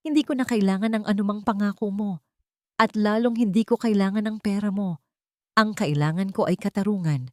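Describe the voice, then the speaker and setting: A woman's voice: medium pitch, dry recording, clean studio sound, natural voice. A woman voicing a bitter, hurt pregnant woman who speaks in a hard, accusing way in an audio drama. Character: bitter, wounded pregnant woman confronting her ex-lover.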